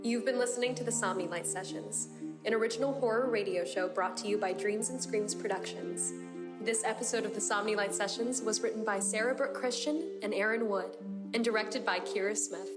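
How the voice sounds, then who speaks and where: A woman's voice: medium pitch, brisk. A woman announcer reading the scripted closing credits of a horror podcast. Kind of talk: scripted podcast outro narration. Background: loud music.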